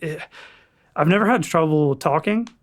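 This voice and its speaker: A young man from Florida, a firefighter paramedic, chatting casually as a podcast guest in a relaxed, rambling way with casual swearing. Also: fairly high pitch, moderate pace. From Florida, USA. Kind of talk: casual chat.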